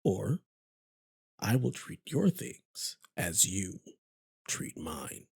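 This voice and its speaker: An actor playing a cold, menacing male Sith lord with a husky rasp and a formal, deliberate way of threatening. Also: lowish voice, big pitch swings, a little mellow, dry recording, full character voice. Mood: coldly menacing.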